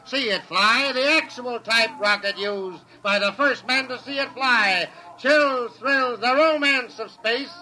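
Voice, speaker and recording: A man playing a brash fairground barker chanting a repetitive sales pitch through a bullhorn in a 1950s radio drama. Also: a little slow, hardly any pauses, bright, forceful tone, heavily acted, rough recording. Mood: excited hawking. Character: brash fairground barker hawking rocket rides.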